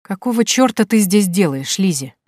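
A woman's voice: mid-range voice, animated, moderate pace, a little mellow, dry recording, natural voice. A woman voicing a scheming young man in debt who talks in a curt, sneering way. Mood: spiteful. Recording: dry close recording.

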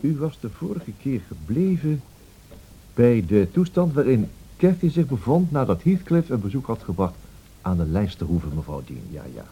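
A courteous, attentive gentleman in a radio play. He murmurs in a very husky voice with lively pitch. The recording is dull and old. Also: normal speed, full character voice. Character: attentive gentleman listening to a housekeeper's tale. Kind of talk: radio drama acting.

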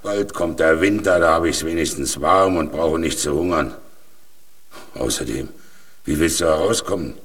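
A weary old drifter for a children's radio play. His voice is low and rough, set a little back from the microphone. He talks plainly and with resignation, like one who has accepted his lot. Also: a bit bright, recorded from a distance, exaggerated voice. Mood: advising. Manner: resigned, slow to be impressed, plain-spoken. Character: resigned, weary old male vagabond in a jail cell.

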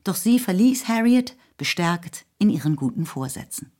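Low-voiced woman narrating a literary radio drama. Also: big pitch swings, normal speed, warm tone. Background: music.

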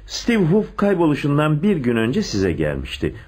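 An actor playing a calm, methodical police inspector in a Turkish radio play. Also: male voice, fairly high pitch, normal speed. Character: calm, methodical male police inspector on a missing-person case. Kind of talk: Turkish radio theatre acting.

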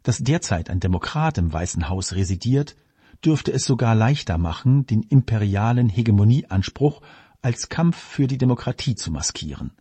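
Mellow low-voiced man reading commentary. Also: expressive, moderate pace, husky, no room sound. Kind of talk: scripted political commentary, read aloud.

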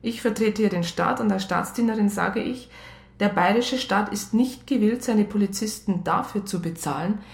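An actress playing a strict police psychologist who narrates a radio crime drama, matter-of-fact. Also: female voice, mid-range voice, moderate pace, gravelly, close to an everyday voice. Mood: stern, formal. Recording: roomy radio play studio sound.

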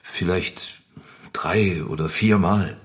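A man's voice: deep voice, slow pace. An actor playing an evasive married man in an affair, giving terse, hesitant answers in a German radio drama. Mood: hesitant, subdued.

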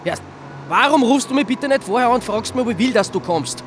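An Austrian actor playing an evasive, exasperated amateur sleuth in a naturalistic radio drama. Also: male voice, very high-pitched, expressive, fairly quick, slight background noise. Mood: annoyed.

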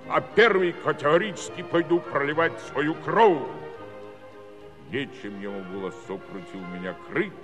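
A man's voice: mid-range voice, natural voice. An actor as a self-important Cossack judge from a stage musical comedy, speechifying in rustic Don dialect. His delivery swings widely up and down, with music behind him in a reverberant old studio recording. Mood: fiery, then proud, reminiscing. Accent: southern Russian Don Cossack dialect. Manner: pompous, speechifying in garbled rustic officialese. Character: folksy, self-important male Cossack village judge, Red veteran. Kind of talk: theatrical musical comedy monologue.

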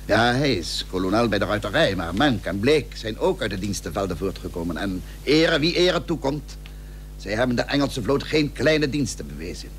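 An actor playing a courteous, persuasive statesman in a period radio drama, with formal, measured, confiding speech. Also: fairly low pitch, big pitch swings. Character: courteous, persuasive male statesman of the Dutch Republic. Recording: humming, noisy old radio recording.

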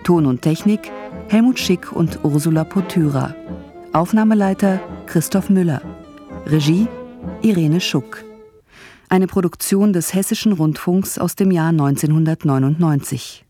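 A radio drama announcer with a medium-pitched voice. The scripted credits and titles are read over clearly audible music. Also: a little slow, mellow.

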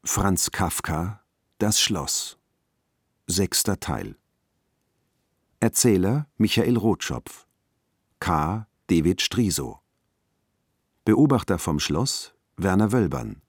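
A man announcing radio drama title and cast credits in a formal, measured manner. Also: low voice, very animated, slightly rough, dry recording, studio quality.

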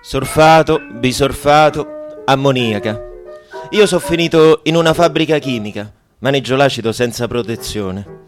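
An actor playing an anxious, sardonic deported forced labourer with a Roman accent, in a radio drama. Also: male voice, mid-range voice, unhurried, soft background music, natural voice. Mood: bitter irony.

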